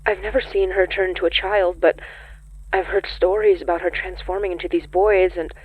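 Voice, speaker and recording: A woman playing a gentle, earnest young ghost summoner in an audio drama, speaking haltingly through a thin, radio-like filter. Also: lowish voice, steady tone, breathy edge, dry recording. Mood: awed, earnest. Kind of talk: intimate audio drama acting. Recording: thin, phone-like band-limited sound.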